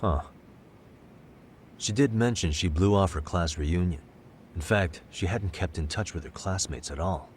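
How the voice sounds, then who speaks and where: An actor playing a calm, analytical male detective in a video game, speaking in a measured, thoughtful way. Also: low-pitched, a little hiss or hum. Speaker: detective lead.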